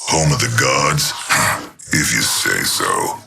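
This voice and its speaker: A killer machine for a video game, with an abyssally deep, gravel-ground voice. It speaks slowly and sardonically, savoring every threat with open contempt. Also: male voice, animated, music in the background, exaggerated voice. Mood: menacing relish. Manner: menacing, sardonic, drawn-out delivery. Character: murderous, mocking killer machine, male, menacing and contemptuous. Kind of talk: video game character voice lines.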